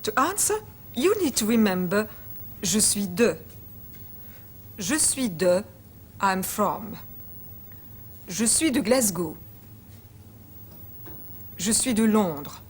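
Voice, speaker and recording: A woman's voice: medium pitch, animated, slight background noise. A woman co-narrating a language lesson, speaking carefully. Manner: slow, careful delivery. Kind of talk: scripted teaching narration.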